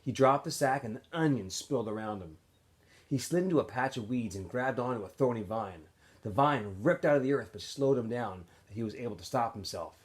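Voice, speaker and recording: A man with a medium-pitched voice narrates a novel for students. His intonation swings widely as he tells the story in an animated way, often letting the ends of phrases drop away softly. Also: brisk. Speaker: teacher reading a novel aloud for students. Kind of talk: expressive audiobook-style read-aloud narration.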